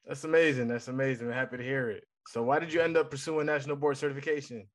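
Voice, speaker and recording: A man's voice: mid-range voice, steady tone, fast. A man hosting an interview podcast, asking friendly, upbeat questions. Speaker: podcast host.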